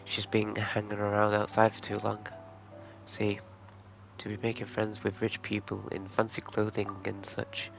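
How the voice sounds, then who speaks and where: A man playing a gruff, unwelcoming desert villager in a video game, speaking in a halting mutter. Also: lowish voice, completely flat delivery, over music, low-quality recording. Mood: disapproving. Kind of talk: reading game dialogue in character.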